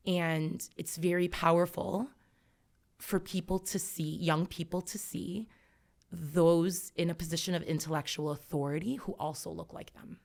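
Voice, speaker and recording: Low gravelly-voiced woman educator. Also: darkish tone. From United States. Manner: reflective, emphatic, many fillers. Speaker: educator guest. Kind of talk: earnest podcast conversation.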